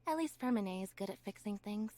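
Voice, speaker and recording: An actress playing a reserved, observant young stage magician's assistant in a video game, with a composed, measured, deadpan delivery. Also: female voice, medium pitch, no room sound, lightly acted. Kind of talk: scripted video game character lines.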